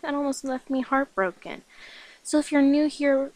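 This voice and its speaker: A woman's voice: high voice, normal speed, darkish tone, rough recording. A woman giving a casual, upbeat update to viewers as the host of her own roleplay channel.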